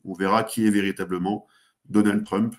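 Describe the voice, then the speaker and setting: Hesitant low-voiced French-speaking commentator man. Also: little pitch movement, normal speed. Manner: hesitant, many fillers and restarts. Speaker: guest commentator. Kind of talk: geopolitical analysis in a talk show.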